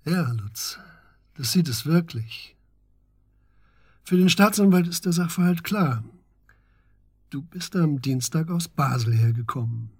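Husky, earnest investigator. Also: male voice, fairly high pitch, expressive, unhurried, long pauses, a little mellow, no echo, studio quality, full character voice. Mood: pressing, worried. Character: grave, pressing male investigator confronting his friend. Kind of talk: German radio drama acting.

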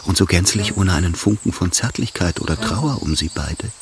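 An actor narrating a German radio drama in a grave, measured, literary manner. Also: male voice, low voice, crowd noise.

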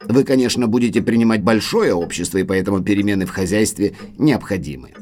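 An actor playing a formal, polite manservant of an old manor in an audio drama. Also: male voice, fairly low pitch, quick pace, a little mellow, lightly acted. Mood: deferential, formal. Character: deferential, sorrowful manservant butler of an old manor.

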